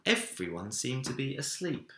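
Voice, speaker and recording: A man reading a children's story aloud in an expressive narrating style, recorded in an echoey room. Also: mid-range voice, moderate pace. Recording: roomy, echoey indoor sound.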